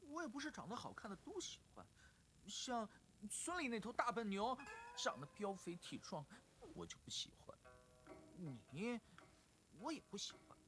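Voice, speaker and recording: An actor playing a playful young man who feigns flamboyant lunacy in an animated drama, theatrical, teasing and whiny. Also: sits high, normal speed, heavily acted, poor audio. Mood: coy, teasing. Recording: music behind the dialogue.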